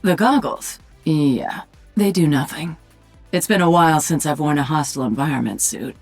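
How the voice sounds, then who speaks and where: A woman voicing a sardonic, commanding alien-hybrid warrior queen in a video game, with a husky voice. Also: deep voice, normal speed, faint music behind. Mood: dry, wry. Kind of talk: video game character voice lines.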